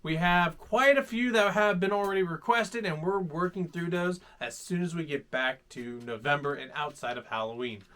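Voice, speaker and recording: A man hosting a children's story time, reading aloud in a playful, dramatic, animated way. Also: fairly high pitch, moderate pace, few pauses. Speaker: host reading children's stories.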